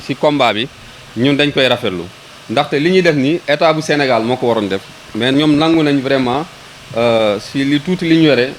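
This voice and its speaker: A man with a Senegalese accent delivers a formal ceremony address in a medium-pitched voice. He feels his way through sentences, doubling words as he goes. A faint ambient hum sits behind the voice. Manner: hesitant, repeating words, switching between Wolof and French. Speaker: local government official. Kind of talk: public ceremony speech.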